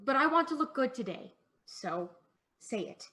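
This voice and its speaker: An actress plays a sheltered young daughter, eager and a little needy. Her voice is high, bright and forceful, with a girlish, pestering way of talking, as in a small stage play on a video call. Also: sing-song, moderate pace, exaggerated voice. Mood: coaxing. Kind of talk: one-act play performed on a video call.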